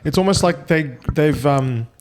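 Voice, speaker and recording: A man's voice: on the high side, a little slow. A young man co-hosting a podcast, talking casually with lots of restarts and repeated words. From Australia. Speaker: podcast co-host. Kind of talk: casual chat.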